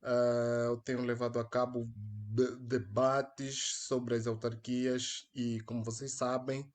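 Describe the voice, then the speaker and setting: A man's voice: mid-range voice, little pitch movement, takes it slowly. A young man with an Angolan accent, hosting a solo live stream in an earnest, hesitant way, with many fillers and restarts, speaking into a headset mic. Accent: Angolan Portuguese. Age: young adult. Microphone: headphones with mic.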